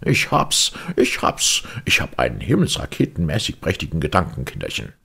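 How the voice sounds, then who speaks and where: Fairy-tale Sandman , male reader acting: tiny, gruff but good-hearted, exclamatory, gravelly, very animated pitch. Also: moderate pace, heavily acted. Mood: delighted.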